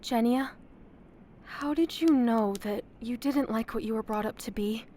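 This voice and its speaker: A woman acting a higher-voiced, curious young listener. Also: unhurried, warm tone, slight background noise, natural voice. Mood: questioning. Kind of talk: audio drama acting.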